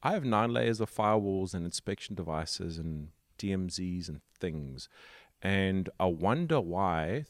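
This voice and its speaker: Low-voiced South African podcast host. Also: male voice, dry recording, clean studio sound. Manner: explains at length with pauses and fillers. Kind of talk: casual tech podcast chat.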